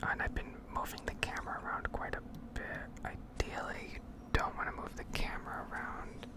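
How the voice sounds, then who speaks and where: Whispering woman, rambling gaming ASMR. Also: a little fast. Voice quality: breathy, unvoiced whisper. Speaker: gaming ASMR video creator.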